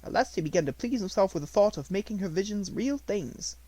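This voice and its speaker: A man voicing a devoted, respectful Indian manservant in a storybook, speaking in formal, poetic, measured phrases. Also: high voice, expressive, darkish tone, no echo, voice pushed up. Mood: wistful, earnest.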